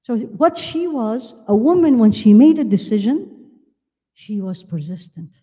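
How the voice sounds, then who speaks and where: An older woman from Iraq teaches from a stage with a handheld mic, her voice mellow and dark yet pitched a little high. She talks with animation and keeps turning questions to her listeners. The sound carries the long echo of a large hall. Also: a little slow, husky. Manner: animated, gesturing, asks the audience questions. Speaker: Islamic lecturer. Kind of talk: religious lecture to a women's conference.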